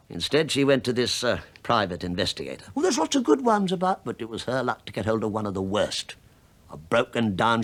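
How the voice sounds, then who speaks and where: Wry British investigator, swooping delivery. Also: male voice, medium pitch, a bit bright. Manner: sardonic, mock-deferential, probing, with dry understatement. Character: wry, sly, shabby male investigator posing as police inspector. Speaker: actor in a radio play. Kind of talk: radio drama acting.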